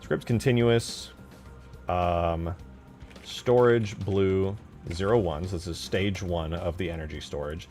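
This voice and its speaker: A man casually narrating his game modding work, thinking aloud in a halting, muttering way as he goes. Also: low-pitched, a little mellow, soft background music. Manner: halting, mutters while typing.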